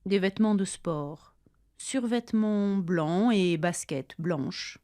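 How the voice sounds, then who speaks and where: A woman reads her half of a scripted practice dialogue, slowly and with deliberate care so learners can follow. Her tone is warm and mellow, and the recording is dry and close, with no room sound. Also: fairly low pitch, no room sound. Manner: careful, deliberate delivery for learners. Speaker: language-lesson dialogue reader. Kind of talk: slow scripted dialogue, each line read twice.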